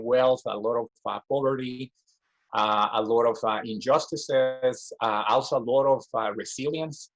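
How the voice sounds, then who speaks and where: A man's voice: medium pitch, slightly rough, muffled recording. A man in his forties from Guatemala giving a reflective personal talk as a design professor, full of "you know" fillers and restarts.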